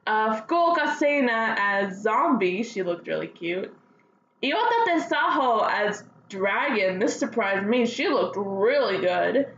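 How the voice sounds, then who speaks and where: A woman's voice: on the high side, very animated, a little slow, fairly bright tone, roomy sound, muffled recording, slight background noise. A woman hosting casual fan news commentary, speaking as a gushing enthusiast with hesitant, filler-dotted delivery. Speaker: host of a fan news show.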